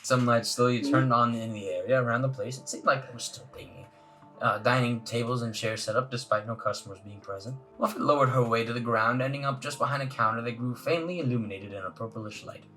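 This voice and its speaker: A man's voice: medium pitch, fast, bright, forceful tone. A man hosting a let's play, reading visual novel narration aloud in a casual way. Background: faint music.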